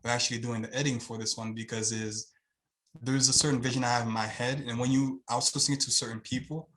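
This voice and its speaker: A man's voice: mid-range voice, level tone, fast, fairly bright tone, poor audio. A man from Haiti with a Haitian accent, a guest talking on a video call in an earnest, rambling way with lots of fillers. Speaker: guest, channel owner. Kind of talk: casual video-call interview.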